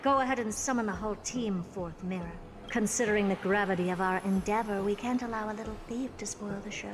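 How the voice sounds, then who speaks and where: A woman's voice: medium pitch, fast talker, noisy background, natural voice. A woman playing a condescending, self-assured genius sorceress in a video game, with theatrical delivery. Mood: haughty. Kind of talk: video game character acting. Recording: game music behind the voice.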